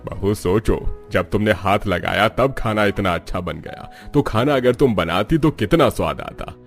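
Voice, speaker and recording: A man's voice: lowish voice, animated, normal speed, creaky, soft background music. A man voicing a wealthy, jovial and generous older father-in-law in a cartoon.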